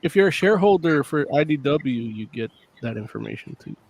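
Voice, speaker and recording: A man's voice: on the high side, lively intonation, a little fast, a little mellow, slightly rough. A man co-hosting a casual chat over a video call, explaining things in a knowing way and trailing off. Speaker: podcast co-host.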